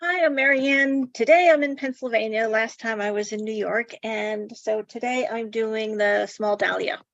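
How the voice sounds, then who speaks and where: A woman giving a short, measured self-introduction on a video call. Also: fairly high pitch, dry recording, highs cut off. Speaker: sew-along participant. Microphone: video call mic.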